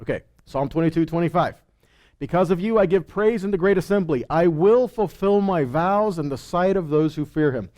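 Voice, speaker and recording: A man's voice: a little high, lively intonation, normal speed, a little mellow, lo-fi sound. A man giving an informal Bible teaching, reading scripture and commenting in a conversational way with rhetorical questions and frequent check-ins.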